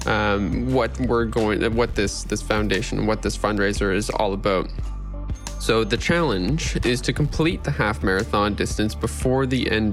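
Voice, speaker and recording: A man hosting a podcast, giving a casual, somewhat halting introduction and announcement. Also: lowish voice. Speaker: podcast host.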